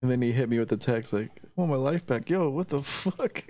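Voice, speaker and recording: A man hosts a casual podcast with friends, in a close, dry recording. His middle-pitched voice rambles along in slang and easy profanity. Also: expressive, brisk, mellow, no echo. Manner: slangy, heavy swearing. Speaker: podcast host.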